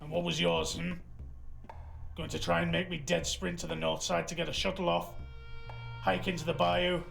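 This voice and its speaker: Man acting a very gravelly, hard-nosed marshal. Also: on the high side, flat delivery, normal speed, a bit bright. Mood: cold threat. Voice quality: gravelly, growling. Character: hard, hot-tempered male marshal interrogating a suspect. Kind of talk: noir audio drama acting. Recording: faint music behind the voice.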